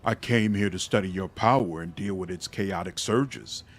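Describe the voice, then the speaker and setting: Fantasy game mentor, male and otherworldly, acted by a man: low husky voice, measured, formal, calculating. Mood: concerned.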